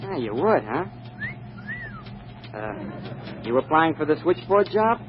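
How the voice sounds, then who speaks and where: Dull old radio sound. cheeky American office clerk, fairly high male voice, slangy, familiar comic bit part. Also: big pitch swings, slow. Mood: casual, cheeky. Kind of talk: 1940s radio comedy acting. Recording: muffled, noisy 1940s radio recording.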